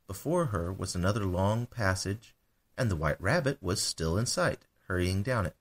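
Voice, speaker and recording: A man reading a children's bedtime story as a scripted narration. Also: low-pitched.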